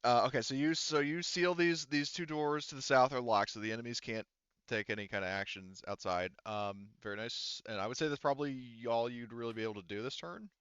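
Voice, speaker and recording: A man's voice: medium pitch, brisk, fairly bright tone, clean tone, dry recording, highs cut off. A man running a tabletop roleplaying session as game master, casually explaining rules in a hesitant, thinking-aloud way.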